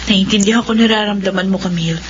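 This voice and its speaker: Actress as soothing Filipina friend, mid-pitched. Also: female voice, fast, natural voice. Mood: gentle pleading. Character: gentle, pleading female friend, a calming confidante. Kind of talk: Filipino radio drama acting. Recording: dull lo-fi radio, faint noise.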